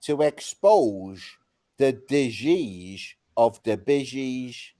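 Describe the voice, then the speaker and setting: A man's voice: high-pitched, very animated, normal speed, darkish tone. A man playing a brash, foul-mouthed Scouse chancer who baits the police, with a Scouse accent, swaggering and calling everyone lad and mate. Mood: swaggering rant. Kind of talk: comic put-on character on a live chat.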